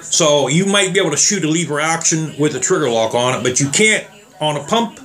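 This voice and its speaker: A slightly hoarse man talking casually and rambling as he tests trigger locks on guns for his viewers. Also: on the high side, animated, fairly quick, fairly bright tone. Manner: rambling, plain-spoken, addresses viewers as guys. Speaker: gun reviewer. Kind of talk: casual demo vlog.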